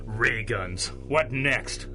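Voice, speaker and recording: Forceful, skeptical police chief. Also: male voice, medium pitch, extremely slow, faint music behind, exaggerated voice. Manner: blunt, skeptical. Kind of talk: 1930s-set pulp radio drama acting.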